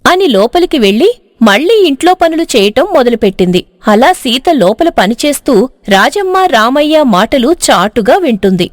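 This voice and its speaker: A woman's voice: high voice, very animated, moderate pace, no echo, studio quality. A woman storyteller giving dramatic scripted narration of a moral tale.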